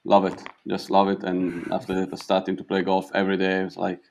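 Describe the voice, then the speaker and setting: A young Italian man with a slight South African tinge, a pro golfer answering interview questions in halting English that repeats words. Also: deep voice, completely flat delivery, creaky, darkish tone, slight background noise. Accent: Italian, with a touch of South African. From Italy.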